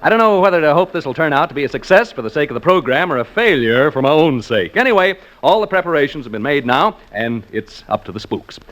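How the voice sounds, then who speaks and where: A man's voice: on the high side, big pitch swings, fast, few pauses, a bit bright. A man acting a jokey, folksy American radio host turned ghost hunter, in 1940s radio drama style with theatrical emphasis. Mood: chatty, uneasy. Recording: noisy old radio show recording.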